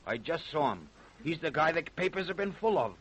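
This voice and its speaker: Animated, nervous American working man. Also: on the high side, brisk, slight background noise. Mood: familiar, emphatic. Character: nervous, talkative butcher eager to clear himself. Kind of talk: old-time radio crime drama acting.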